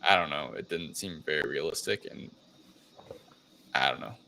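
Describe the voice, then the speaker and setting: A man's voice: extremely low pitch, moderate pace, sharp tone. A man co-hosting a podcast, recapping a movie in casual chat. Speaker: podcast co-host.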